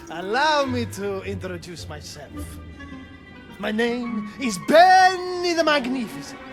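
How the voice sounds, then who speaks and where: A pompous male fortune teller with a lilt and a high, slow delivery. Every phrase is stretched out for show, over loud swelling music. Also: expressive, heavily acted. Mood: grandiose. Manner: grandiose, theatrical.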